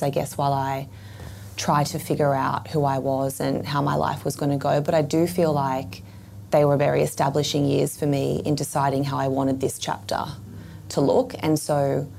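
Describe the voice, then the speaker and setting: A woman in her thirties speaks in a measured, reflective way. Her voice is very low and croaky, with a gravelly fry. A faint hiss sits under the recording. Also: dark tone. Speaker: guest. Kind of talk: reflective conversation.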